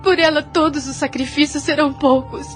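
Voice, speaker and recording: A Brazilian actress playing a gentle, devout, self-sacrificing young daughter in an audio drama, speaking in earnest, formal phrases. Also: female voice, sits high, steady tone, brisk, faint music behind, close to an everyday voice. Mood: tearful devotion. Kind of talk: Brazilian radio drama acting.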